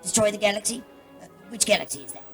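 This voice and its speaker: A woman's voice: mid-range voice, racing, over loud music, close to an everyday voice. A woman playing a haughty, silly alien council member in a comic radio play, with pompous, melodramatic delivery. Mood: flustered.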